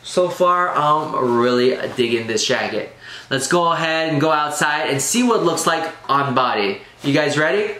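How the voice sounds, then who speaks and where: A man's voice: on the high side, animated, moderate pace, pushed and bright. Man in an echoey, noisy room. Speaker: menswear review vlogger. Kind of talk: casual product review to camera. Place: small bare room at a desk, indoors. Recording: roomy echo with clear background noise.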